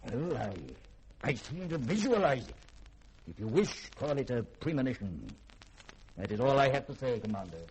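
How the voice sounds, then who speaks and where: An actor playing an imperious, secretive middle-aged scientist in a 1950s radio drama, with haughty, formal phrasing and a husky voice. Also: male voice, medium pitch, expressive, moderate pace, darkish tone, a little hiss or hum, close to an everyday voice, rough recording. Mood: ominous warning.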